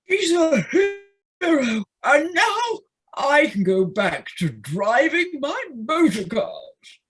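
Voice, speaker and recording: A woman playing a proud, foolish male toad in an audio play, grandiose and melodramatic, with a husky voice. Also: high voice, very animated, slow pace, full character voice. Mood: elated.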